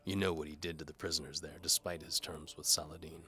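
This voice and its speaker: Deep, sardonic medieval mercenary played by a man. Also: level tone, fast talker, pushed and bright, full character voice. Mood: bitter, defiant. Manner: sardonic, wry. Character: cynical, sarcastic down-on-his-luck medieval mercenary bowman, hard-boiled narrator-hero. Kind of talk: first-person noir audio drama narration.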